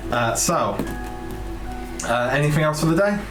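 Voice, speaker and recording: A man's voice: on the high side, animated, measured pace. Echoey male game master, music behind. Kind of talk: improvised tabletop game narration. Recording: roomy echo, music behind the voice.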